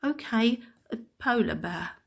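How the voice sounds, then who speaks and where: A woman's voice: on the high side, lively intonation, a little slow, vocal fry, husky, exaggerated voice. Woman as a little sheepish bear cub. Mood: sheepish, hesitant. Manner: hesitant, sheepish fibbing. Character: small cheeky young polar bear cub. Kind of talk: children's storybook character voice.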